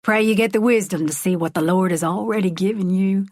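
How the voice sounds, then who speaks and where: A woman voicing a folksy, charismatic male megachurch preacher, preaching with drawn-out words and dramatic pauses. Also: mid-range voice, a little mellow, dry recording, clean studio sound, close to an everyday voice. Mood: gentle. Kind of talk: audiobook character voice.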